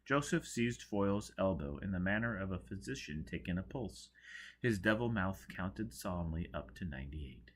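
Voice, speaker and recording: A man reading an audiobook, narrating dramatically with a theatrical, expressive delivery. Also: sits low, normal speed. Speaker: audiobook reader.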